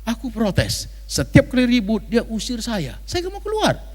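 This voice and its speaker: A man's voice: very high voice, huge pitch swings, normal speed, pitched above the performer's own voice, full character voice. A man acts out an older wife, bitter and worn by years of hurt. The voice is plaintive and confiding, rising and falling widely, in a reverberant hall as part of a sermon story. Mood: aggrieved. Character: aggrieved older wife, bitter and long-suffering.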